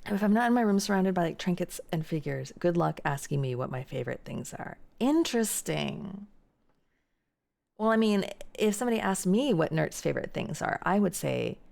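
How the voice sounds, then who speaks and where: A woman's voice: deep voice, lively intonation, normal speed, gravelly, darkish tone. A young woman streaming live, chatting casually and reading out and answering viewer messages. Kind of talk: casual chat reading chat aloud.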